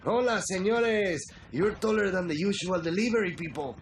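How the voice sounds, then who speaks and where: An actor playing an eerily placid, childlike cartel villain with a Latin American Spanish accent in a game, simple and detached. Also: male voice, high voice, normal speed, soft background music, close to an everyday voice. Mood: friendly. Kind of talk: game cutscene acting.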